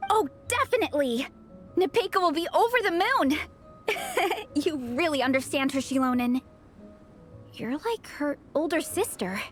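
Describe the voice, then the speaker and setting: A woman voicing a cheerful, excitable young fan-club captain in a video game, bubbly and friendly. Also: sits high, moderate pace, long pauses, soft background music. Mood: delighted.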